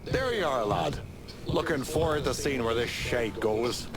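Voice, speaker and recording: A man playing a rough, opportunistic gangster with an Irish accent in a video game cutscene. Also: mid-range voice, very animated, a little slow, noisy background. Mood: pushy.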